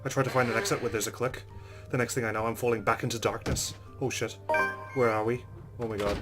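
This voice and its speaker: A man's voice: medium pitch, flat delivery, fast talker, music in the background. A man hosting a let's play, reading visual novel narration aloud and adding casual asides. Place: recorded voice-over with game music behind.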